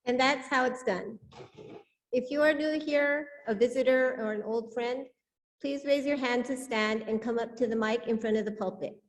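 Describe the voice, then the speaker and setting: Woman, slightly high pitch, service leader, scripted church announcements, speaking to congregation. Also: normal speed. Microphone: church microphone.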